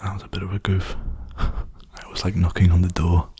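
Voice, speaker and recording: A young man speaking softly in a hushed, breathy, soothing whisper, rambling casually for an ASMR video. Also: deep voice, little pitch movement, moderate pace. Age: young adult.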